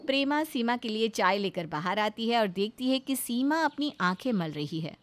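A woman's voice: on the high side, expressive, normal speed. A woman narrator reading a scripted family story.

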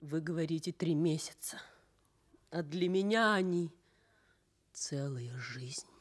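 An actress playing a devoted nurse in love in a Soviet radio drama, speaking softly and intimately. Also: female voice, low voice, lively intonation, slow, heavily acted. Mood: sorrowful.

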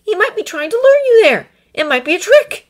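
A woman's voice: extremely high pitch, sing-song, a little fast, voice pushed up. Woman voicing a desperate teenage wizard boy. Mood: urgent pleading. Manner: urgent, pleading, raised voice. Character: anxious teenage wizard boy, pleading and insistent.

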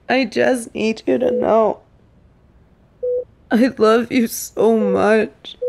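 A woman's voice: a little high, vocal fry, darkish tone, full character voice. A woman playing a stern, fiercely protective secret agent secretly in love, in an intimate, emotional audio roleplay. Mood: anguished confession. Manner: slow, emphatic, emotionally intense delivery.

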